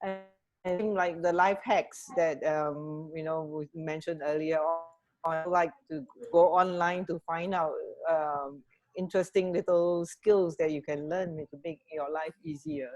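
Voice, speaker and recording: A woman's voice: low voice, steady tone, a little slow, darkish tone, dull audio, faint music behind. A middle-aged woman co-hosting a radio show in casual, hesitant chat over a video call from home. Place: home living room with stairs, on a video call. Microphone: earphone mic on a video call.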